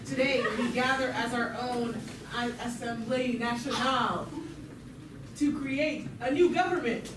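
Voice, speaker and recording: A woman's voice: a little high, unhurried, natural voice. A woman playing an earnest peasant rebel leader with a declamatory manner, in an amateur comic puppet show. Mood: solemn, rallying. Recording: echoey room, distant mic, noise.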